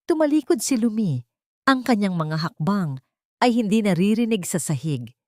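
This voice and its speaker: A woman narrating a dramatic story in a slow, measured, somber way, close to the mic. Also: mid-range voice, big pitch swings, no room sound.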